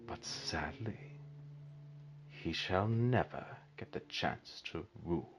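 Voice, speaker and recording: A man playing a menacing, mocking evil sorcerer in an audio drama, with a theatrical, drawn-out, sneering delivery. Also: low voice, animated, a little hiss or hum, exaggerated voice. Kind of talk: fan audio drama villain acting. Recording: dull, muffled recording with music behind.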